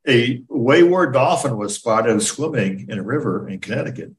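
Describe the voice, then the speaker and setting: A man's voice: mid-range voice, expressive, moderate pace. A man co-hosting a casual talk show, chatting about odd news with wry, joking interjections.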